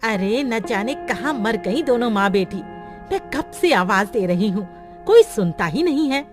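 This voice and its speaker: A woman voicing a harsh, scolding older mother-in-law in a cartoon story, in a lower, contemptuous voice. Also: expressive, unhurried, soft background music. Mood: irritated. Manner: sharp, nagging and contemptuous.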